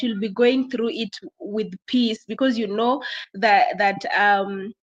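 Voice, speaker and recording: A woman hosting a live online session, talking while she has a bad cold, halting and full of fillers. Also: fairly high pitch. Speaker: host. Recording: dull lo-fi sound.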